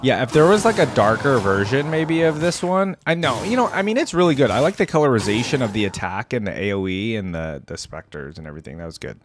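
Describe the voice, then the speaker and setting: A man's voice: mid-range voice, sing-song, fast talker, few pauses, faint music behind. A man giving casual, off-the-cuff reaction commentary as a video host, thinking aloud in an informal way.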